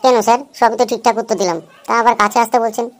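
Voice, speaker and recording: A woman's voice: on the high side, steady tone, very fast, natural voice. A woman voicing a cheeky young schoolboy in a comedy cartoon, glib and pleading with excuses. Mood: protesting. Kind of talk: Bengali comedy cartoon dub.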